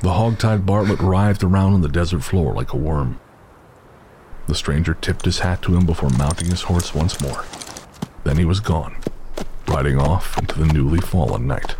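A man giving scripted, measured narration for a horror audio drama, with a music score playing behind him. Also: low-pitched, background noise.

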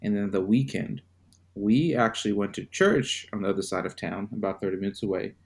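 Podcast guest from Zimbabwe: low-pitched man, unhurried, reflective storyteller. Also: vocal fry. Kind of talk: personal storytelling.

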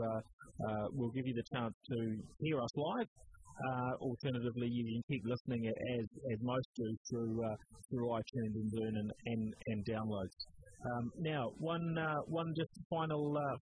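Kiwi guy hosting a tech podcast, mid pitch, lots of ums n restarts, bit of hiss. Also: darkish tone, low-quality recording. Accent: New Zealand. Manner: hesitant, many ums and restarts. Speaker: podcast host. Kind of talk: casual tech podcast chat.

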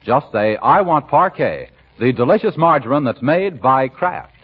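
A man's voice: mid-range voice, sing-song, measured pace. An American man working as a 1940s radio announcer, reading scripted copy with polished, emphatic salesmanship. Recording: muffled vintage 1940s radio recording.

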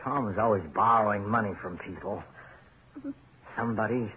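An American actor playing a tense, short-tempered husband in a 1940s radio horror drama, curt and agitated. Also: male voice, on the low side, moderate pace, lightly acted. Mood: frantic, defensive. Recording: tinny, noisy 1940s radio recording.

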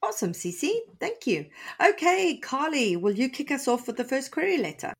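A woman's voice: sits high, very animated, normal speed, vocal fry, husky. A woman hosting a writing podcast, welcoming listeners in an enthusiastic, bubbly way. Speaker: podcast host. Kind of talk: upbeat show hosting.

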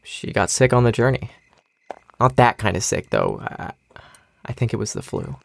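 A young man playing a weary, anxious but caring survivor in an audio drama, with a gravelly creak in his voice. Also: on the low side, huge pitch swings, moderate pace, exaggerated voice. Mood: sorrowful. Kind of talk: naturalistic audio drama acting.

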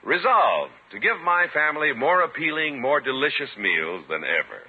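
A man's voice: medium pitch, very animated, normal speed, slightly rough. A man working as a radio announcer, reading 1950s advertising in a polished, emphatic sales delivery. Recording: muffled old radio recording.

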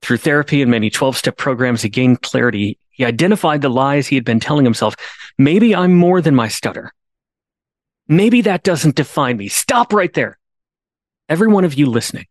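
A man's voice: medium pitch, animated, brisk, dry recording, clean studio sound. A man hosting a podcast, telling a story in an earnest way, restarting on repeated words and rising to emphatic pleas. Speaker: podcast host. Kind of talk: storytelling monologue.

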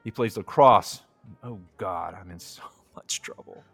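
A man's voice: fairly low pitch, measured pace, creaky, fairly bright tone, slightly rough, exaggerated voice. Anxious single man, music behind him. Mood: exasperated, jealous. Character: anxious, self-deprecating single man dreading online dating. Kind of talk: naturalistic audio drama dialogue.

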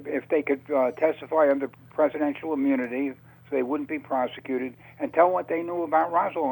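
A man speaking as a radio interview guest, telling his account in a halting, conversational way with repeated words. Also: a little high, darkish tone, poor audio. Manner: halting, hesitant phrasing with repetitions.

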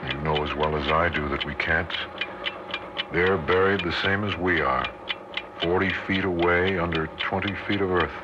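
An actor playing a weary, steady American father who explains things in a measured, plain-spoken way in a radio drama. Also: low voice, lightly acted. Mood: grim, resigned. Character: weary, steady, reassuring father sheltering his family underground. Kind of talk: 1960s radio drama acting. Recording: muffled 1960s radio recording, noisy.

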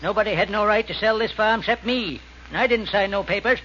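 An actor in 1940s radio style playing a stubborn old farmer with folksy rural speech and a guarded, unwelcoming temper. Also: male voice, high voice, quick pace, bright, forceful tone. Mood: hostile, defiant. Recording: dull, noisy old radio recording.

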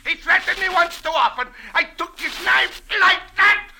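A male actor playing an excitable, defiant killer who speaks emphatic broken English in an old radio drama. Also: very high voice, moderate pace, full character voice. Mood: raging. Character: hot-tempered defiant confessed killer, excitable foreign man. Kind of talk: 1940s radio drama acting. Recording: noisy old radio recording.

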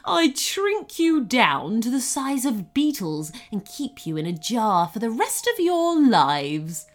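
A woman's voice: a little high, big pitch swings, slow, pitched above the performer's own voice. A woman voicing a cruel, gluttonous goblin queen in a children's story, with a shrieking, cackling, gloating delivery. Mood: cackling threat. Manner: shrieking, cackling, gleeful menace.

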